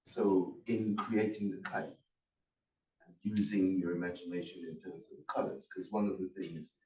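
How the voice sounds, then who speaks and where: A man's voice: deep voice, flat delivery, normal speed, mellow. A man from Ghana in the audience offering a musing suggestion. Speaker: audience member. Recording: distant, echoey room.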